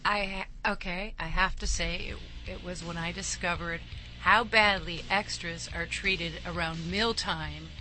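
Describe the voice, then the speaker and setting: A woman's voice: on the low side, steady tone, a little slow, bright, forceful tone, faint music behind, rough recording. A woman guest telling stories in casual conversation, chatty and emphatic. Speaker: actress, show guest.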